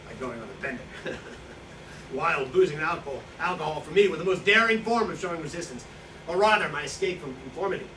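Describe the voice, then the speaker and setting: A man playing a self-pitying, self-justifying wartime profiteer in a staged reading, halting and indignant, with nervous chuckles. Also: sits high, sharp tone, far from the mic. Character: self-pitying, self-justifying Nazi-era profiteer who seized a bookstore. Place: live staged reading in a room. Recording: echoey, noisy hall recorded from afar.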